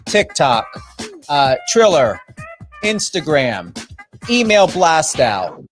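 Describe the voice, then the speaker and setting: A man's voice: fairly high pitch, big pitch swings, takes it slowly, soft background music. A man with a New Jersey accent hosting a radio show, talking in an emphatic, brash, hyped way with repetition and casual swearing. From New Jersey, United States. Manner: emphatic, brash, swears freely, repeats himself. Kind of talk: hyped radio guest introduction.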